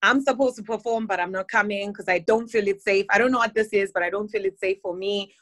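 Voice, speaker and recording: A woman's voice: mid-range voice, fairly even intonation, quick pace, a bit bright. A woman from Zimbabwe, a guest musician, chatting easily in an interview and laughing often. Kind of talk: casual interview chat. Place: based in London, on a remote call.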